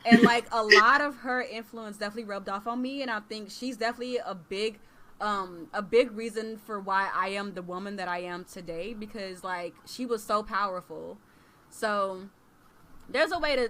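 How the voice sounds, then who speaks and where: A woman in her thirties, a kindergarten teacher hosting a casual chat over a video call, animated and expressive, laughing often. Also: medium pitch, moderate pace, a little hiss or hum. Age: 30s. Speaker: kindergarten teacher and podcast host.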